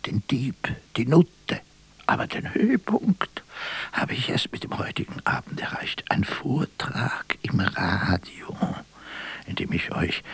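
A weary, ironic theatre actor reciting a radio monologue. The voice is breathy and rough-edged, fairly low, and moves very slowly, halting for effect and often falling to a whisper. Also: male voice, exaggerated voice. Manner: declamatory, halting, bitterly ironic. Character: world-weary, self-mocking male stage actor delivering a mad monologue.

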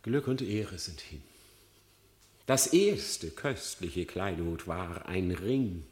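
A man's voice: sits low, expressive, takes it slowly, a little mellow, echoey room. An actor playing a cunning male fox on trial in a radio play, pleading and then defiant. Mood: wheedling. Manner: pleading, wheedling, rising to bold defiance.

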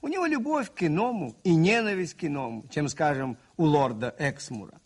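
A gentle priest-detective in a radio drama. His voice sits fairly high and swoops expressively as he moralizes earnestly, with a husky grain. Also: animated. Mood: earnest, reflective. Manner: earnest, moralizing, deliberate phrasing with rhetorical repetition. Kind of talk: 1980s radio play acting.

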